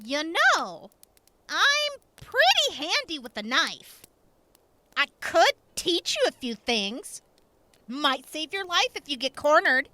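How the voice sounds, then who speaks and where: A woman's voice: sits high, big pitch swings, slow pace, sharp tone, exaggerated voice. A woman playing a feisty, protective young wasteland scrapper in an audio drama, with a brash, emphatic manner. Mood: confident, friendly. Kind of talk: post-apocalyptic audio drama acting.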